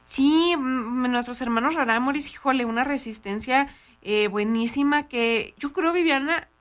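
A loud, excitable woman with a shrill, squeaky voice and a northern Mexican accent, chatting casually as a radio co-host. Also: a little slow.

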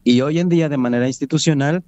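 A man's voice: fairly high pitch, mellow, dry recording, lo-fi sound. Earnest man. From Mexico. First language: Nahuatl. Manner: earnest, measured, with many fillers and restarts. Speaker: guest, Nahuatl language coordinator. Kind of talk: interview conversation.

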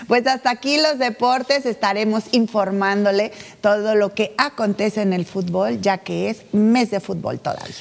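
Female news anchor, gravelly voice, relaxed informal presenting. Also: medium pitch, normal speed, hardly any pauses.

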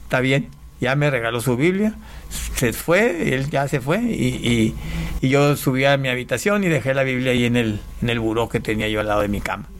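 A man from Panama, an interview guest, giving a personal testimony in a casual, halting way with repeated words. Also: mid-range voice, animated, slight background noise.

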